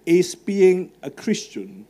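A man's voice: high-pitched, very animated, slow pace, mellow. Man with a Hong Kong accent. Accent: Hong Kong English. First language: Cantonese. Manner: conversational with fillers, asks the audience questions. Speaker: speaker at a student evangelistic talk. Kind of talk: live talk to a student audience. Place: large marquee tent with a stage. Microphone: handheld microphone.

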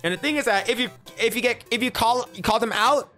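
A young man in a small room, reacting to social media posts on stream and reading them aloud in a flat, deliberate way, with casual swearing in between. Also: extremely high pitch, soft background music. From United States. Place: small room indoors at a desk. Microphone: headset.

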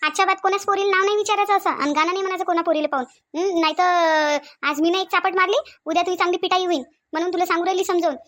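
A woman's voice: extremely high pitch, steady tone. A woman voicing a feisty, fearless young cartoon woman who scolds sharply, with a Varhadi Marathi accent from Vidarbha. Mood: angry, scolding. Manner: sharp, scolding, rapid-fire. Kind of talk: cartoon comedy dialogue.

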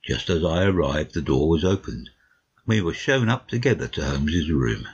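A man with a very low, rough-edged voice narrates a classic story. His reading is expressive and varied in pitch, on a slightly dull recording. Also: moderate pace. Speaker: audiobook narrator. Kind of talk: literary first-person narration in a dramatic reading.

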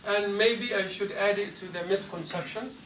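A man's voice: high voice, steady tone, a bit bright. Man teaching a class in an echoey hall. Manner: measured and explanatory, taking questions from the class. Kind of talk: mosque class lecture. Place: large carpeted mosque hall. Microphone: lapel mic, filmed by a far camera. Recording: echoey hall from a distance, faint hiss.

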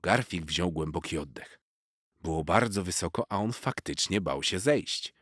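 A man's voice: low-pitched, lively intonation, moderate pace, fairly bright tone, no echo. A man narrating a children's story expressively from a script, close on the mic.

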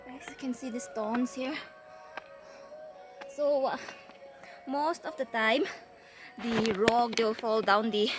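A young woman vlogging while she climbs a forest mountain trail, tired and sweating, chatting to the camera and cheering herself on. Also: on the high side. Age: young adult. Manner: chatty, breathless from climbing, self-encouraging. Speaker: travel vlogger. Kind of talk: hiking vlog narration. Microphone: handheld camera held close.

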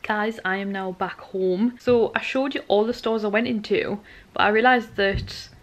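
A woman's voice: medium pitch, steady tone, moderate pace. A young woman in her twenties chatting casually and rambling to a camera held at arm's length, in a car and at home. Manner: chatty, rambling, easily tired. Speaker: vlogger. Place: car, then bedroom at night, then kitchen.

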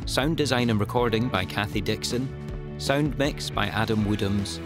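A man's voice: lowish voice, normal speed. A man reading scripted end credits as the announcer of an audio drama. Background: guitar music.

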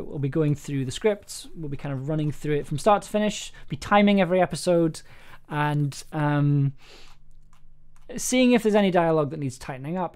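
A man's voice: a little high, clear, resonant tone. A young adult man chatting casually on a livestream, hesitant and rambling as he thinks aloud. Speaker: audio drama producer and streamer. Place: small indoor room at home. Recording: music playing underneath. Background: background music.

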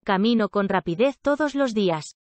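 A woman with a mid-range voice reads practice sentences clearly and at a measured pace. The recording is close and dry. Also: mid-range voice, lively intonation, darkish tone, no room sound. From Spain. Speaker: language lesson speaker. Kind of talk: reading practice sentences aloud.

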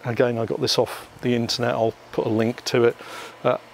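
A man's voice: mid-range voice, little pitch movement, brisk, vocal fry, slight background noise. A British man hosting a casual unboxing vlog outdoors, explaining things to viewers in a chatty, hesitant way. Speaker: vlog host.

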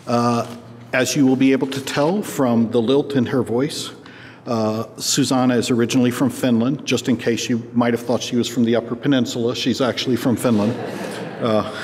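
An older male professor at a podium introducing a guest lecturer, halting and full of filler words. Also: mid-range voice, darkish tone. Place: large lecture hall, at a podium. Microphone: podium microphone. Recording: reverberant hall, faint background noise.

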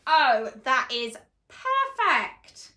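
A young woman with a high, bright voice reads a story aloud for little children. Her delivery is very slow and deliberate, with exaggerated expression that invites listeners to join in. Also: very animated. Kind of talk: animated read-along storytelling for young children. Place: small living room, on a sofa indoors.

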